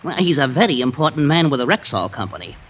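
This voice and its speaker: A man's voice: mid-range voice, sing-song, fast talker, fairly bright tone, lo-fi sound. A man playing a prim, smug, needling brother-in-law in a prissy, condescending way for a 1940s radio comedy, on a noisy recording.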